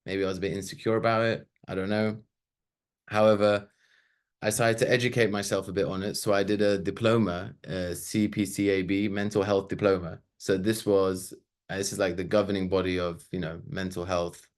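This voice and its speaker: A man's voice: deep voice, flat delivery, fairly quick. A man, giving a casual, chatty webinar talk with loose asides and fillers. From Sweden. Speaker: webinar presenter.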